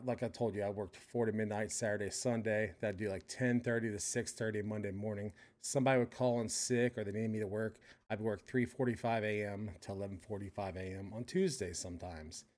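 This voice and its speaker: Middle-aged guy streaming, low voice, rambly casual talk with lots of restarts. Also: a little mellow. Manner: rambling, frequent restarts and fillers. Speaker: stream host reacting to a podcast. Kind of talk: casual personal commentary.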